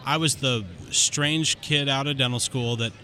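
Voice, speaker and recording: A man guest on a podcast, candidly telling his story in an animated way, with quick rushes of speech. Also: mid-range voice, soft background music. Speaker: dentist guest. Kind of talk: casual interview storytelling.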